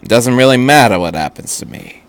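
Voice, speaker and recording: A man voicing a weary older factory worker in a story reading, plain-spoken, halting and reflective. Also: mid-range voice, expressive, lightly acted.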